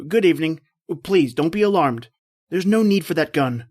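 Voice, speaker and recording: Radio adventure hero: polite, inquisitive castaway hunter, slightly raised pitch, dramatic first-person delivery. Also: male voice, lively intonation, normal speed, a little mellow. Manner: earnest, dramatic storytelling. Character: shipwrecked male big-game hunter, polite, observant, inquisitive.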